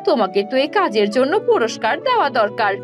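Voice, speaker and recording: A woman voicing a sharp-tongued, teasing village wife in a cartoon fairy tale. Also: fairly high pitch, big pitch swings, fast talker, over music. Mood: teasing approval. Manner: scolding, teasing.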